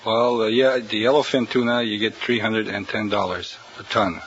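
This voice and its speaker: A plainspoken man from San Pedro answers a quiz host's questions about his fishing work. His voice sits low but bright and tense, and he stops often to search for words. Faint hiss sits under the old recording. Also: flat delivery, dull audio. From San Pedro, California. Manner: plainspoken, halting with many uhs. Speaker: quiz contestant, fishing skipper. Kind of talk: hesitant guest answers.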